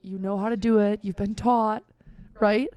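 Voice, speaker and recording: A young woman co-hosting a podcast in casual chat, talking fast, laughing often and acting out quotes. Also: medium pitch, fairly even intonation, warm tone, no echo. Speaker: podcast co-host.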